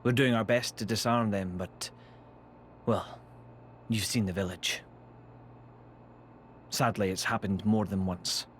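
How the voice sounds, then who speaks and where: An actor playing a courteous, grave army commander in a video game cutscene, speaking in a formal, measured way. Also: male voice, lowish voice, fairly even intonation, a little hiss or hum. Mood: grave, regretful.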